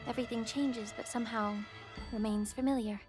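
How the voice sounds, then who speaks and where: A woman plays a gracious and quietly shrewd healer from a dragon clan in a video game. Her voice sits fairly high and she phrases everything with formal, measured courtesy. Music plays softly underneath. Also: fairly even intonation, lightly acted. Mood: wistful, calm. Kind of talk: scripted video game voice acting.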